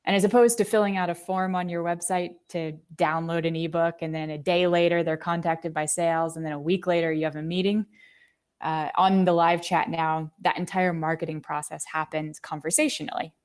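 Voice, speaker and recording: A woman's voice: on the low side, steady tone, a little fast, clear, resonant tone. A woman speaking as a podcast guest, explaining things articulately in a conversational interview style. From United States. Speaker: marketing executive, podcast guest.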